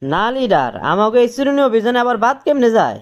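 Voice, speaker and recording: A man's voice: very high-pitched, sing-song, fast, muffled recording. A man voicing an eager, naive young political errand boy in a cartoon, with colloquial Bangladeshi speech. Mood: earnest. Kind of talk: cartoon dialogue.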